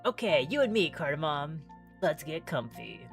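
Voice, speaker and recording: A woman's voice: deep voice, sing-song, moderate pace, soft background music. A woman voicing a brash, teasing but kindly visual novel character who talks to a stray cat. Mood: bossy, fond. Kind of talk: animated reading of visual novel dialogue.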